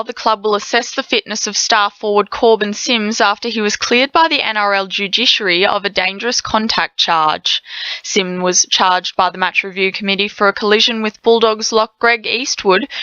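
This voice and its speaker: An Australian woman reads scripted sports news with a bright, forceful delivery. Her voice is smooth and crisp, recorded dry with a slightly dulled top end. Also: medium pitch, moderate pace, dry recording, muffled recording. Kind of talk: scripted sports bulletin reading. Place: radio studio.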